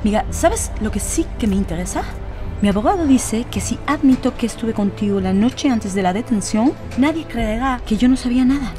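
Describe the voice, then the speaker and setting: A woman's voice: fairly high pitch, lively intonation, normal speed, over music, close to an everyday voice. An actress playing a wary, stubborn jailed woman, speaking firmly and insistently in an audio drama. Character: jailed young French woman, wary, stubborn, self-protective.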